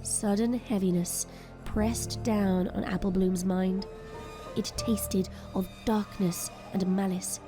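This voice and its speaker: A woman's voice: medium pitch, steady tone, slow, music in the background. A woman narrator delivering dramatic scripted storytelling for an audio drama.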